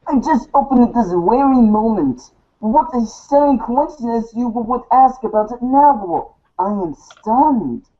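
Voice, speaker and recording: A woman voicing a verbose, coolly sardonic teenage girl in a stilted, halting reading of a webcomic script. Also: a little high, expressive. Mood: dry, sardonic. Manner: stilted, wordy, halting over long words. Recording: dull lo-fi home recording.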